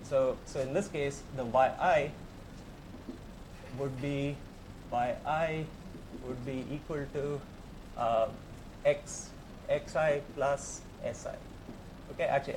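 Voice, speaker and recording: Man, Indian accent, fairly high voice, conversational lecturer, faint background hiss. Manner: conversational, explains step by step and invites questions. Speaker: professor giving a tutorial. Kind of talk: technical lecture.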